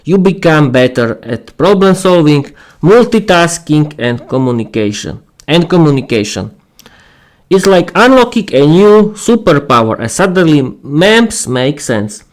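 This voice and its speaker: Slow, halting man reading aloud. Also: fairly high pitch, big pitch swings. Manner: halting reading with stumbles and repeated words. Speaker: podcast host and English teacher. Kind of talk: reading a written text aloud on a livestream with casual asides.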